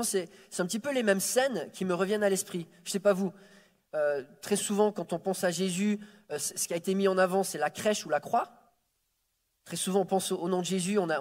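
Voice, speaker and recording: A man, preaching a Sunday sermon as a guest, joking about himself and often repeating words as he restarts. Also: sits high. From France. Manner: warm self-deprecating humor, frequent stammered repeats. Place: church sanctuary. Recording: reverberant church hall.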